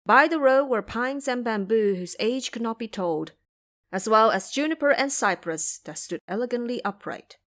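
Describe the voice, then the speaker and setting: A woman narrating a scripted audio drama as a storyteller, with careful, expressive phrasing. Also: fairly high pitch, normal speed.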